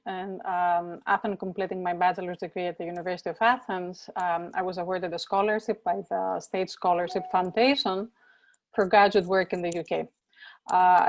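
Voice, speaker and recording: Measured woman on a video call. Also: on the low side, no room sound. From Greece. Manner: thoughtful, measured, with frequent fillers and repeats. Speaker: professor and interview guest.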